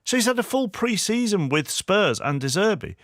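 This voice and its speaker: A man hosting a lively football discussion, probing his guests with questions and pointed remarks. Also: high voice, normal speed, dry recording, clean studio sound. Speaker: radio show host.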